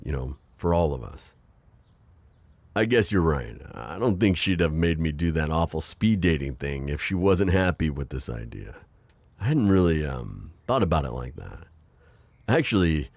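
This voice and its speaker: A man playing a deep-voiced, bashful divorced dad. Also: no echo, exaggerated voice. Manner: hesitant, full of ums and stammers, joking softly. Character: gentle, self-deprecating divorced middle-aged construction-worker dad. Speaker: voice actor. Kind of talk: ASMR roleplay.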